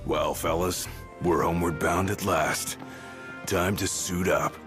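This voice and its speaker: Gruff, extremely deep-voiced warrior. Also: male voice, steady tone, measured pace. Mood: easygoing, resolute. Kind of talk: video game voice acting. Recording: music under the dialogue.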